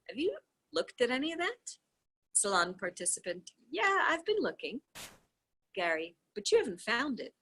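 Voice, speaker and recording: A woman reads a nonfiction book aloud in a read-along style. Her pitch moves in lively swings as she delivers quoted questions and replies expressively. The voice has a breathy, slightly husky grain.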